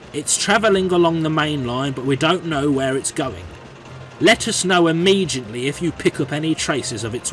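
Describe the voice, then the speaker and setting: Man acting out animated British railway storybook characters. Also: mid-range voice, natural voice. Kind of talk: scripted audio drama of storybook railway engines, with narration and character voices.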